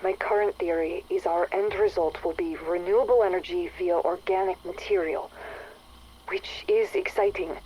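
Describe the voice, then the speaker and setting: An actress playing an anxious, isolated research scientist who confides guardedly in an audio log. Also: female voice, on the low side, a little mellow, close to an everyday voice. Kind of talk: acted audio log monologue. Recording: faintly noisy radio-like audio log.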